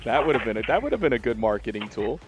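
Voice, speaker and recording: Easygoing male radio DJ, middle-pitched voice, casual and chuckling, soft music underneath. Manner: laid-back, laughs often. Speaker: radio host.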